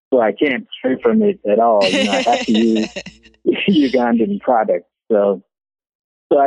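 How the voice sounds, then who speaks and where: A man's voice: high voice, creaky, dry recording. A man who runs a business, answering interview questions in a casual, hesitant way with many restarts. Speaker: business owner being interviewed. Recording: tinny phone-like line, dry.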